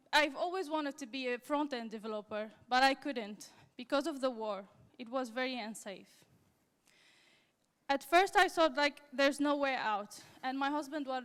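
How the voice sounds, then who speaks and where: A young woman from Syria tells her story on a conference stage, speaking English as an in a high, clear and smooth voice. She speaks in halting, careful phrases, and her voice rings through a large hall with a long reverb. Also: fairly bright tone. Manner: halting, slightly non-native phrasing. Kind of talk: personal story told on stage.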